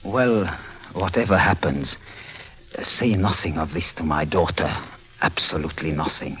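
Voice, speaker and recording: A man's voice: sits low, measured pace, a bit bright, low-quality recording. An actor playing a haunted, secretive father in a vintage radio drama, speaking in a solemn, theatrical manner. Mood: anxious, insistent. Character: grave, haunted, secretive father with a guilty conscience. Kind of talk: mid-century radio drama acting.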